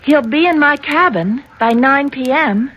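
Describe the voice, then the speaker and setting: Anxious high-voiced young woman, pleading. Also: unhurried, muffled recording. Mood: nervous pleading. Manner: hesitant, earnest, emotional. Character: anxious, ashamed young senator's daughter, pleading. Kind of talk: 1970s radio drama acting. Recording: old radio broadcast, faint noise.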